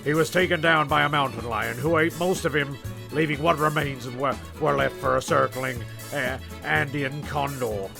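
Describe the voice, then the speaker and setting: A man's voice: medium pitch, normal speed, vocal fry. A man playing a testy, rambling, self-important narrator in a comic radio play, stumbling and grumbling as he goes. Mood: rambling storytelling. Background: music.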